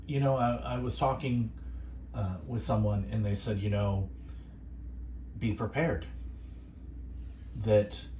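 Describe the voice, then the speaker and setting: A man's voice: lowish voice, darkish tone, slight background noise. Reflective male radio host, echoey and distant. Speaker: radio host and station manager. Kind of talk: reflective, encouraging inspirational radio monologue.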